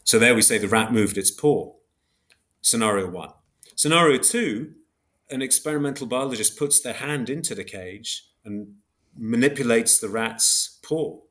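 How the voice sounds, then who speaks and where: A man's voice: medium pitch, unhurried, fairly bright tone. A man explaining concepts as an academic interview guest, in a precise but hesitant way, often correcting himself. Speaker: philosopher guest, book author.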